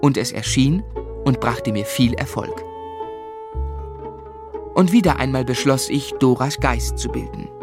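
Young writer telling his story, earnest, measured, articulate, mid voice, music under it, radio play. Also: mid-range voice, animated. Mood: wistful, tender. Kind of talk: literary first-person narration in German radio drama.

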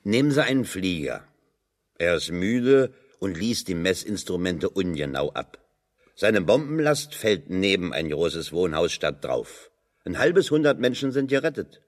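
A man's voice: sits low, very animated, measured pace, heavily acted. An actor playing a sardonic, dry-witted working man in German radio drama dialogue, speaking colloquially and ironically. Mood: dry, anecdotal.

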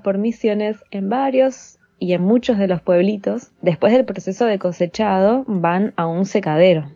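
A woman presenter calmly explaining how something is made, thinking aloud and trailing off into lists. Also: medium pitch, no room sound. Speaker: program presenter. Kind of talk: explanatory chat about yerba mate. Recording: dull lo-fi sound with faint music.

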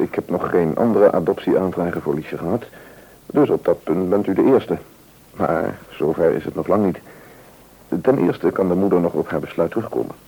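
A careful, businesslike official in a Dutch radio play. His voice is very deep, dark and full of creak. He explains things formally and cautiously, with a Netherlands Dutch accent. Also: heavily acted, poor audio. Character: sober, cautious male adoption official.

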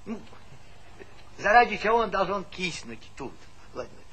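A man's voice: sits high, big pitch swings, moderate pace, a bit bright. An actor playing a talkative, stubborn old village grandfather in a stage comedy, folksy and argumentative, repeating himself. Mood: proud, insistent. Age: older. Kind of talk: theatrical stage comedy acting. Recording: noisy 1970s theatre play recording.

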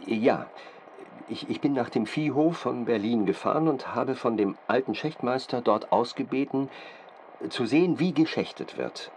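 A man playing an earnest, fastidious doctor who gives detailed expert testimony in a deliberate, halting, precise manner. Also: mid-range voice, lively intonation, darkish tone, slight background noise, close to an everyday voice. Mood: earnest, fascinated. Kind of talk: period-drama studio interview, detailed account.

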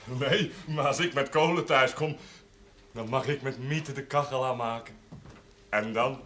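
A man's voice: mid-range voice, a little slow, a bit bright, slight background noise, close to an everyday voice. A man playing a childlike, simple-hearted and eager village man in a 1970s television drama, naive and emphatic. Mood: naive, proud. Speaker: actor.

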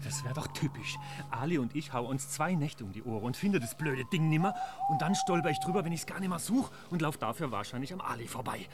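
A man's voice: a little high, lively intonation, quick pace, over loud music, lightly acted. An actor playing an impulsive young man searching the woods at night in a radio drama, talking in urgent colloquial slang. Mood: restless, frustrated. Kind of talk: naturalistic radio drama acting. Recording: noisy outdoor ambience.

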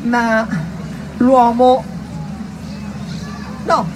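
A woman's voice: a little high, little pitch movement, very slow, crowd noise, noisy background. A woman performing a dramatic theatrical monologue, telling a story emphatically in short, broken phrases.

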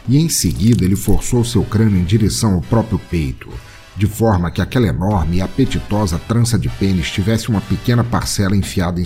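A man's voice: deep voice, mellow. A man delivering scripted narration as the storyteller of an audio drama.

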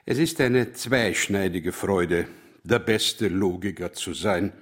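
Husky, worldly mathematician, low-voiced. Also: male voice, heavily acted. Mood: wry, confiding. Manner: wry, boastful, talks in measured pronouncements. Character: worldly, self-assured, melancholic mathematician from Budapest. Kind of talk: German radio drama acting.

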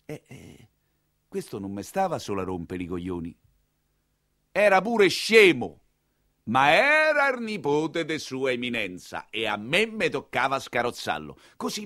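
A man with a Roman accent performing an earthy, expressive theatrical monologue as a storytelling coachman. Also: a little high, no echo, clean studio sound. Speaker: solo stage actor narrating as a coachman.

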